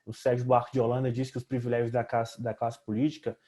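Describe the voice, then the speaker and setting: A man's voice: mid-range voice, little pitch movement, fast talker, mellow. A young man with a Brazilian accent giving a semi-formal, explanatory project presentation as a student, repeating words hesitantly as he goes.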